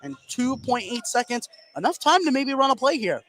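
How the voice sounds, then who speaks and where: A man's voice: extremely high pitch, lively intonation, moderate pace, fairly bright tone. A man doing live play-by-play basketball commentary on the radio, narrating in short clipped phrases. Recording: noisy gym ambience behind. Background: ambient noise of a basketball game.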